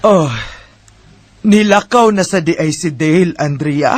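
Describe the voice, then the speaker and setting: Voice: medium pitch, a little slow, no room sound, lightly acted. An actor with a Cebuano Bisaya accent playing a blunt, suspicious, meddling older parent in a radio drama. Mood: concerned. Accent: Cebuano Bisaya Filipino.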